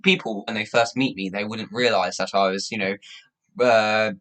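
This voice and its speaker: Low-voiced young man hosting a livestream. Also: fairly even intonation, a bit bright. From Latvia. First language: Russian. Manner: rambling, halting. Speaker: livestream host and youth charity campaigner. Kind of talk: casual livestream chat, thanking viewers.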